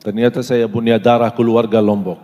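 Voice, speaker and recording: A male preacher addresses a live gathering in a middle-pitched voice. He asks the audience questions and recites lists slowly and deliberately. A long reverb trails each phrase, as in a large hall. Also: level tone, warm tone, muffled recording. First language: Arabic.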